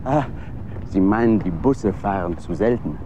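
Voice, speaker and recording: Naive earnest young guy in a radio drama, lowish voice, street noise behind. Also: animated, a little slow, lo-fi sound. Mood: puzzled, friendly. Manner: earnest, friendly, persistent. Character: hopeful, naive young man dreaming of directing films. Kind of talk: German radio drama acting. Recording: clear outdoor street and traffic noise. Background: city street ambience with vehicles.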